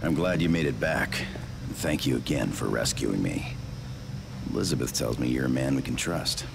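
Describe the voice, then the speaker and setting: Very deep, gravelly rebel captain. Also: male voice, expressive, normal speed, very noisy, heavily acted. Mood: grave, grateful. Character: gruff, determined male rebel captain. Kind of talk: video game cutscene acting.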